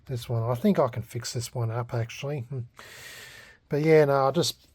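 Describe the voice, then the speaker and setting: A man's voice: mid-range voice, normal speed, darkish tone, husky. A man with an Australian accent talking casually and unscripted in a hobby restoration vlog, hesitant, laid-back and self-deprecating. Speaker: vlogger.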